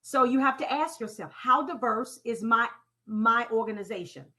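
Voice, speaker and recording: A woman leading an interactive training talk in a deliberate, teacherly way, putting questions to her audience and repeating key phrases. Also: fairly high pitch, sharp tone. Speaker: presenter leading a training session. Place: online conference call with slides.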